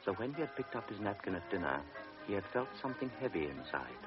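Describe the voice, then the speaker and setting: A man narrating a radio drama in a measured, grave manner. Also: lowish voice, very animated. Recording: dull old radio recording with music.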